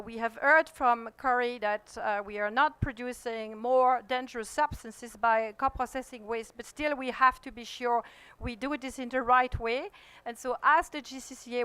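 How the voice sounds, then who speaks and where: A woman moderating a conference session in a smooth, clear voice pitched somewhat high. She talks haltingly, filling her pauses with fillers and restarting phrases, and the sound is close and dry. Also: a bit bright, dry recording. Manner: hesitant, with many fillers and restarts. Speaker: panel moderator. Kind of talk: conference presentation.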